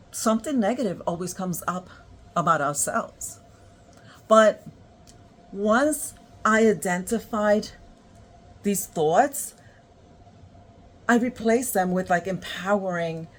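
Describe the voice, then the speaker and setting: A woman from Puerto Rico with a New York accent, giving a slow, encouraging motivational self-help talk full of affirmations. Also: fairly low pitch. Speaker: motivational creator.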